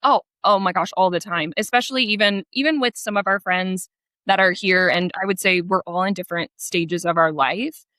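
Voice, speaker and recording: A woman's voice: lowish voice, normal speed, sharp tone, no echo. An American woman in her thirties, a guest author chatting animatedly and laughing between points. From United States. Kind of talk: casual interview chat. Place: her home in Portugal.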